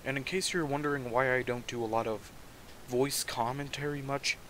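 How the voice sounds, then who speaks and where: A soft-spoken man talks casually and a little rambly while he plays a game at home. His voice sits in an ordinary middle register. The game's sound carries clearly underneath his commentary. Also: mid-range voice, steady tone, normal speed, slight background noise, rough recording. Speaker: gaming channel host.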